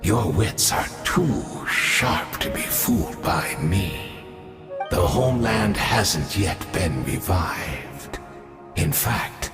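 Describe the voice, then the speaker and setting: A centuries-old video game villain, grandiose and scheming, in a deepish, gravelly voice. He speaks slowly and theatrically, with polished mock courtesy and a zealot's fervour. The voice rings through a long hall reverb. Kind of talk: dramatic video game voice acting. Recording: echoey hall reverb under music. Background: game score music.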